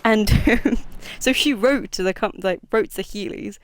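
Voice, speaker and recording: A woman's voice: mid-range voice, measured pace, no echo. A woman streaming a game and chatting casually as host, laughing often and poking fun at herself. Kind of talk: casual chat while gaming.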